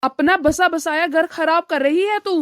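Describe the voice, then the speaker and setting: A woman voicing a weary, moralizing middle-aged father who lectures his family in an animated drama. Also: extremely high pitch, normal speed, no room sound, studio quality. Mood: earnest, admonishing. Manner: admonishing, lecturing.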